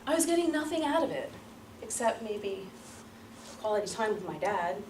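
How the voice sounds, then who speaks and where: A woman delivering a rehearsed storytelling speech as a public speaker, theatrical and emphatic, with deliberate repetition and pauses. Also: mid-range voice, very animated. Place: room before an audience. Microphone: distant microphone. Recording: roomy, distant mic, light background noise.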